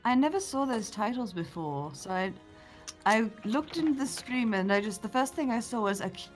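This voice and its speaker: A woman's voice: on the high side, lively intonation, moderate pace, music in the background. A woman chatting casually with the group as a player on a tabletop game stream.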